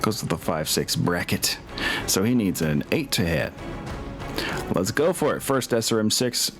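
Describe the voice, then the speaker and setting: Low voiced guy game mastering a tabletop battle game, kinda muttering thru the math out loud, music playing behind. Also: expressive, moderate pace. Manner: thinks aloud while tallying rolls. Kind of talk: running a tabletop wargame, casual narration.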